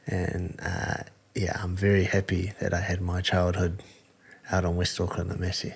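A man with a New Zealand accent, a fighter, answering interview questions in an earnest, halting way with many fillers. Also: low voice, completely flat delivery, gravelly, husky. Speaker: combat sport fighter, guest.

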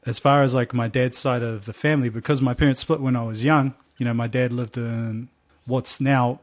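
A man's voice: mid-range voice, moderate pace. Laid-back New Zealand man telling stories. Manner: relaxed, laughs often, slangy storytelling. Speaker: musician guest. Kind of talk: casual interview chat.

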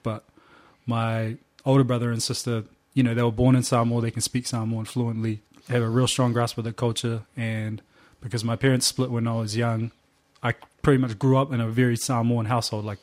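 Kiwi guy chatting in an interview, casual, laughs a lot, mid-pitched voice. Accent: New Zealand. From New Zealand. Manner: relaxed, laughs often, slangy storytelling. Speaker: musician guest.

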